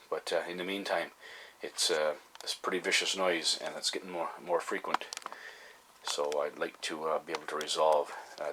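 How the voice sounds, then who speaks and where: Male hobby vlogger, deep voice, folksy and halting delivery, slightly noisy recording with clicks. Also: bright, forceful tone. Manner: halting, folksy, thinking aloud. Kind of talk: casual explanatory vlog.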